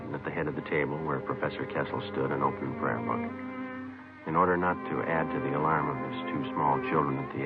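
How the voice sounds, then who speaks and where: An actor playing a terse, businesslike police sergeant narrating a vintage radio crime drama in a deadpan, clipped way. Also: male voice, extremely low pitch, phone-quality sound. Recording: band-limited vintage radio recording, music behind.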